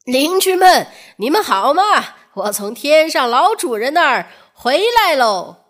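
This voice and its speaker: A woman's voice: high voice, big pitch swings, a little slow, voice pushed up. Woman voicing a sly, cheerful carpenter man. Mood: loud, cheerful. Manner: loud calls, feigned sincerity. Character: sly, plump male carpenter, falsely cheerful.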